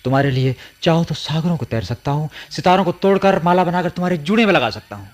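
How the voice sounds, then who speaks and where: A man's voice: on the high side, animated, fast, soft background music, lightly acted. A man acting an ardent but uneasy village lover in a Hindi radio play, theatrical and fervent.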